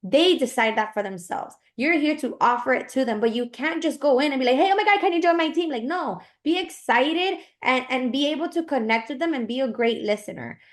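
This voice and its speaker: Woman, higher register, informal sales coach delivering motivational advice. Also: expressive, fairly quick, few pauses.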